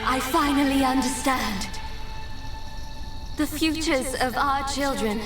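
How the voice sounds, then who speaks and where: A noble, protective goddess from a video game. She speaks high and deliberately, solemn and resolute, with strong echo and loud music around her. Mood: solemn defiance. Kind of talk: dramatic game cutscene acting. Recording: echoing voice under very loud music.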